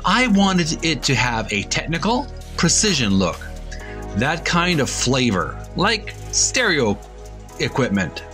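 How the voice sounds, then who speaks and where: A man hosting a video game channel, speaking casually or reading aloud in a halting, deliberate, phrase-by-phrase way. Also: on the high side, wildly swooping pitch, sharp tone. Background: background music.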